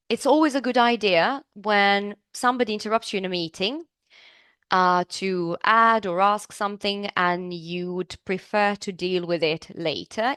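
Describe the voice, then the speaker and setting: A woman's voice: mid-range voice, fairly bright tone, clear, resonant tone, no room sound, clean studio sound. A woman from Serbia, teaching business English in a live online class, upbeat and chatty, asking the class questions.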